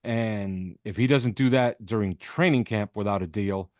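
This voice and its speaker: A man's voice: fairly low pitch, measured pace, no room sound, clean studio sound. A man speaking as a guest analyst in a sports interview, making his points emphatically and repeating them for effect. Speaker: podcast host appearing as guest analyst. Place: video call with backdrop behind.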